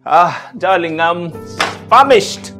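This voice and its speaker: A man's voice: sits high, expressive, slow, pushed and bright, soft background music, heavily acted. A man playing a husband who teases his wife, then scolds her for upsetting his daughter. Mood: teasing. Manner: teasing, then indignant and scolding. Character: playful husband turned protective, scolding dad. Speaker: husband and father.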